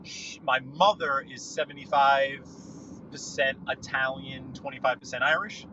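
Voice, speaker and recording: A man's voice: mid-range voice, fairly even intonation, unhurried, muffled recording, background noise. A man chatting on a live podcast from his car, telling stories in a rambling way with many restarts. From New York. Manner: rambling, storytelling, frequent restarts. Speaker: livestream guest. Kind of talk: casual live podcast chat while driving.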